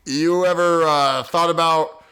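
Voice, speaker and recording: Man as hesitant celebrity love interest, slightly high voice, awkward, improv radio comedy. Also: fairly even intonation, measured pace, pushed and bright, smooth. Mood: awkward, earnest.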